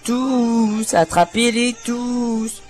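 A man half singing, half reciting a cartoon theme in a halting, self-conscious amateur way. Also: very high-pitched, little pitch movement, a little mellow, faint music behind.

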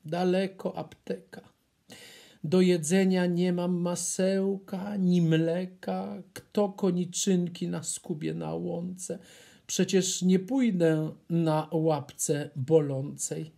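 A man's voice: high-pitched, fairly even intonation, slow, creaky, dark tone, full character voice. A man voicing a mischievous young hare in a children's storybook, hurt and plaintive, drawing out his words.

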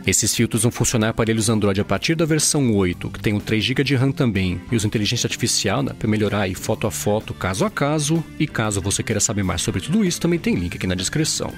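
A man's voice: lowish voice, animated, fast talker, hardly any pauses, faint music behind. A Brazilian man hosting a daily tech news podcast, chatty and informal, peppering his reading with little tag words. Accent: Brazilian Portuguese. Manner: casual, fillers like "né" and "aí".

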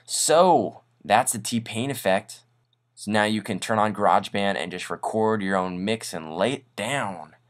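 A man hosting a casual software tutorial in an informal, upbeat, self-deprecating way. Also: lowish voice, a little slow. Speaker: tutorial host. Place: voice-over on a screen recording.

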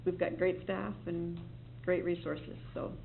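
City staffer at a board meeting: a rambling, reassuring explainer with a gravelly edge, heard over a tinny line. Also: mid-range voice, level tone, unhurried, faint music behind. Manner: rambling, self-correcting, reassuring. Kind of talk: public meeting briefing. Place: meeting room. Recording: tinny, lo-fi meeting recording with faint ambience.

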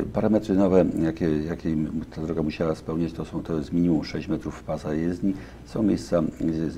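Very deep-voiced man, halting answers. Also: dark tone, a little hiss or hum. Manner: halting, many fillers and restarts. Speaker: deputy county official. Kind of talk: studio interview answers. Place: local TV studio. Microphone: lapel mic.

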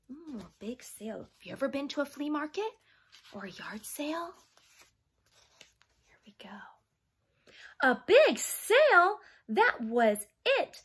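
Bright, very lively high-voiced woman reading aloud. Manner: animated, sing-song, asking the listeners questions. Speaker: children's read-along teacher. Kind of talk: expressive read-aloud to young children with chatty asides. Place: small child's bedroom, indoors.

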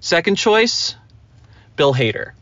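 A man's voice: on the high side, big pitch swings, slow, a bit bright, no room sound. A man chatting casually as a podcast host. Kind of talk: casual chat. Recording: slightly dull, lo-fi sound.

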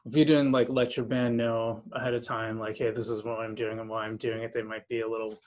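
A man's voice: fairly low pitch, level tone, brisk, darkish tone. A man in his forties hosting a podcast, asking questions and giving frequent affirming murmurs over a video call from a small room. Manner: attentive, lots of backchannel affirmations. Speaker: podcast host. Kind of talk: casual video-call interview. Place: small room with posters, indoors. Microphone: laptop webcam mic on video call.

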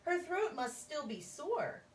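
A woman voicing a class of excited, unruly schoolkids, speaking up high and loud, heard from a distance in an echoey room. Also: slow, heavily acted. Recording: roomy, distant, faint hum.

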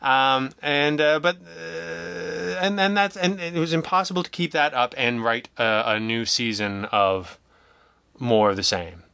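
A man speaking as a guest in a casual interview, wry and self-deprecating, telling things in an animated way. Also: a little high, unhurried, gravelly, smooth, no room sound. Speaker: interview guest, audio drama writer and producer.

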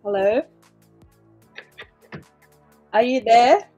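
A woman's voice: fairly high pitch, animated, normal speed, mellow, soft background music. A woman in her forties hosting a casual online interview show from a room at home over a video call. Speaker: host and interviewer.

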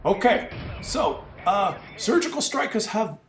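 A man voicing an excitable, mocking game commentator who speaks broken English in a put-on foreign accent. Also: sits high, measured pace, music in the background, exaggerated voice. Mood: steady commentary. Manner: broken grammar, exclaiming and gloating. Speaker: host. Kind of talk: comic commentary on a game replay. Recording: roomy, distant mic with faint noise.

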